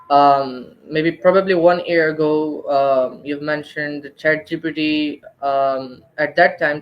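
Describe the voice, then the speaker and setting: A man hosting an interview, asking questions in a halting, affirming way over dull lo-fi audio. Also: on the high side. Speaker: podcast host.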